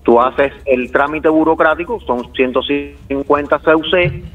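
A man's voice: on the high side, a little slow, phone-quality sound, faint music behind. Distant man on a call. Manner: easygoing, laughs often, repeats himself. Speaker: phone-in guest. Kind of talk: casual explanatory chat.

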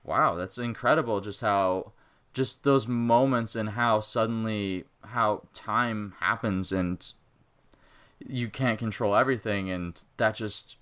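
A man's voice: lowish voice, slow, fairly bright tone. A man interviewing a musician, admiring and effusive, asking long musing questions.

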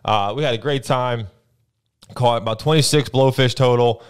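A young man with a New Jersey accent co-hosting a fishing report, telling his weekend trips in a relaxed way full of fillers, at a boom mic in a small studio. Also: moderate pace, clean tone. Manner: relaxed storytelling with many uh and um. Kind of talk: casual fishing report chat. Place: small studio with brick wall, indoors. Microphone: boom mic on arm, headphones.

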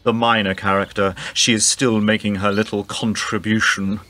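An actor playing a pompous, theatrical upper-class husband and war veteran in a 1920s radio play. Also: male voice, fairly low pitch, little pitch movement, normal speed, bright, forceful tone. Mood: haughty.

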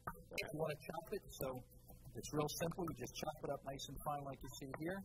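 A man's voice: fairly high pitch, fairly even intonation, quick pace, over music, rough recording. A middle-aged man hosting a studio cooking demo, casual and instructive, teasing his co-host and laughing often. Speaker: cooking show host demonstrating cookware. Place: studio kitchen set.

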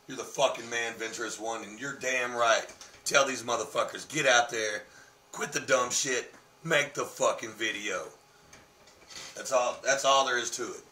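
A man's voice: medium pitch, a little hiss or hum. A man in his thirties vlogging casually from his living room, brash and swearing, his voice worn from losing it. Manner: brash, swearing, animated gestures. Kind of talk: casual profane vlog. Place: living room in a home, indoors. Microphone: webcam on desk. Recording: roomy webcam sound from a distance.

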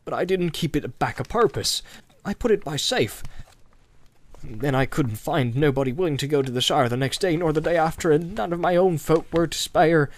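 A man's voice: a little high, normal speed, lightly acted. Man playing a flustered, rambling country innkeeper. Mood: apologetic, anxious. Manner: rambling, stammering, folksy dialect speech. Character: flustered, rambling, good-hearted rustic innkeeper. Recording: music behind the voice.

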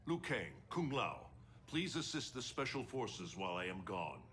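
A man's voice: medium pitch, very animated, moderate pace, a bit bright, slight background noise. A man playing a solemn, ancient thunder god in a video game, speaking in a grave, formal, stately way. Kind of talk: dramatic video game cutscene acting.

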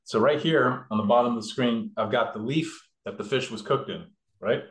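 A man's voice: medium pitch, moderate pace. Rambling man cooking in an echoey kitchen. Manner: rambling, explains step by step with fillers. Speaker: cook demonstrating a recipe. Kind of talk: casual cooking demo. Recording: echoey room.

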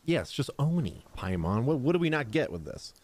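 A man's voice: mid-range voice, big pitch swings, moderate pace. A man streaming a game, reacting casually with brief murmured comments into a desk microphone. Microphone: desk microphone at webcam setup. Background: game music.

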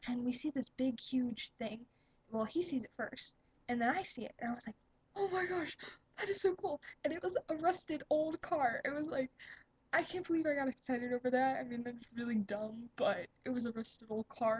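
A young woman with a slightly high, breathy voice chats to her webcam in an upbeat, rambling way. The sound is dry and close, with no room around it. She drifts from one thought to the next, full of fillers and little laughs. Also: dry recording, low-quality recording. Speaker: vlogger. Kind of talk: casual webcam chat.

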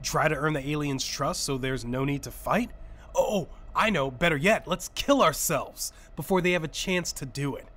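A worn-out male survivor played by an actor in a science fiction audio drama. He whines and drips sarcasm. His voice sits a little high for a man. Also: normal speed, fairly bright tone, lightly acted. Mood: sarcastic, fed up. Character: sarcastic, whining, exhausted man, alien-ship survivor.

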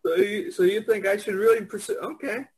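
A man's voice: high-pitched, brisk, darkish tone, breathy edge. A man being coached, talking in casual, hesitant conversation.

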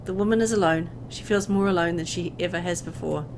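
A woman reading a horror visual novel aloud as dramatic, expressive narration, as host of a gameplay video. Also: on the low side, quick pace, noisy background.

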